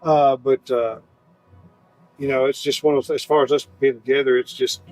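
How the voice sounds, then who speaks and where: A man's voice: medium pitch, fairly even intonation, moderate pace, darkish tone, no room sound, low-quality recording. A man who leads a band and sings lead, answering interview questions in an easygoing way full of "you know". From northern Alabama. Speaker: band leader and lead singer.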